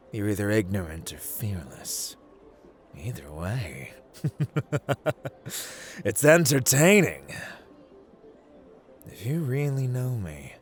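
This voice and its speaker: A man playing a playful, arrogant god of luck in an ASMR roleplay, with a teasing, drawling, condescending manner and dramatic pauses. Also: on the low side. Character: playful, arrogant god of luck, amused and dangerous gambling master. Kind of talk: ASMR roleplay, theatrical monologue to listener.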